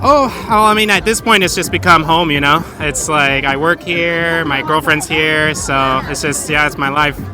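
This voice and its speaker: A man's voice: on the high side, moderate pace, few pauses, rough recording. A man from Colorado, chatting loosely in a street interview. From Colorado, USA. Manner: relaxed, rambling, laughs. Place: busy city street, outdoors. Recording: street crowd and music behind.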